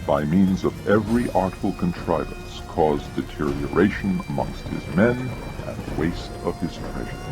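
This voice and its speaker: A man reading dramatized scripted narration in a measured, formal way. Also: low voice. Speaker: audiobook narrator.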